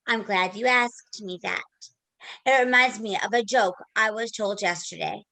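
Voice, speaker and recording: A woman's voice: mid-range voice, pushed and bright, rough recording. A woman playing a literal-minded schoolgirl robot in a play reading, speaking in a formal, stilted way.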